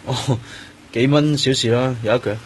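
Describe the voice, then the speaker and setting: An actor playing a laid-back plainclothes detective in a radio drama, speaking casual, laconic Hong Kong Cantonese with a husky voice. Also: male voice, mid-range voice, steady tone, moderate pace, lightly acted. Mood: casual, hesitant.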